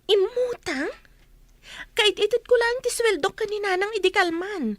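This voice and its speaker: A woman's voice: very high voice, animated, unhurried, fairly bright tone, full character voice. A woman with an Ilocano accent playing a reproachful, exasperated working elder daughter in a comedy radio drama. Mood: suspicious.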